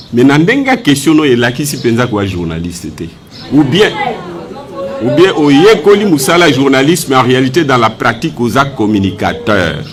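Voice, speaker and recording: Measured, lecturing Congolese man. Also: medium pitch. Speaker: politician at press conference. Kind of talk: press conference answer.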